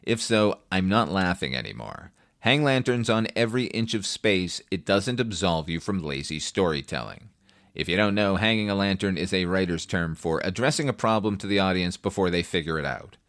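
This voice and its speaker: A man's voice: sits low, lively intonation, moderate pace, a bit bright, dry recording, clean studio sound. A man hosting a film review podcast, delivering a scripted but conversational monologue in an opinionated, wry, casually profane way.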